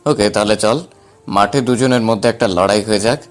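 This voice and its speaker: A man playing a protective, defiant young husband, the calm hero of an audio story, with a firm manner. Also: lowish voice, moderate pace, faint music behind, natural voice. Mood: firm, challenging.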